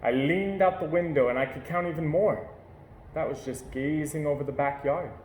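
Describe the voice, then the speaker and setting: A man reading a children's picture book aloud with gentle, measured storytelling. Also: fairly high pitch. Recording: echoey room with faint hiss.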